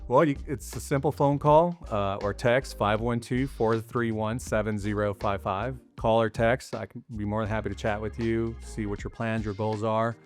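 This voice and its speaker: A middle-aged man chatting as a guest, explaining things in a relaxed way with many casual fillers. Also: lowish voice, normal speed, hardly any pauses, soft background music. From raised partly in Costa Rica. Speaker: mortgage loan officer, guest. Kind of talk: casual interview chat.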